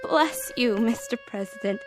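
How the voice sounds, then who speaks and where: A woman's voice: on the high side, natural voice. An actress playing an older grandmother who begs desperately for her grandson, in a radio drama. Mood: pleading. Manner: pleading, slow and tearful. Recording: radio drama with music beneath.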